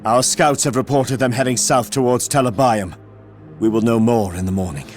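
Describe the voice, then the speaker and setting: An actor playing an earnest, resolute young army commander in a fantasy game, speaking in a solemn, declamatory way. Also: male voice, mid-range voice, normal speed, faint music behind, close to an everyday voice. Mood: grave. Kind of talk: heroic fantasy game cutscene acting.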